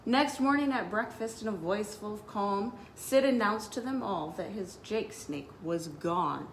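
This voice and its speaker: A woman's voice: medium pitch, unhurried, a little hiss or hum. A woman speaking as a children's librarian, hosting story time with announcements and read-aloud for young listeners.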